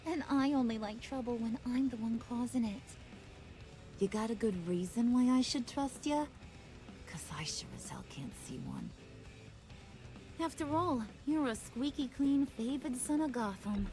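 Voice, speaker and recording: Volatile young villainess, American accent, slow drawling taunts, wildly swooping intonation, sing-song mock sweetness, video game. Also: female voice, on the high side, lively intonation, darkish tone, faint music behind. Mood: taunting, suspicious.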